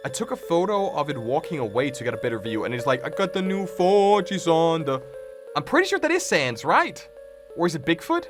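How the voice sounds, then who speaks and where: A man hosting a reaction video, joking and thinking aloud as he comments casually and reads captions out. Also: fairly high pitch. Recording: music playing behind the voice. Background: background music.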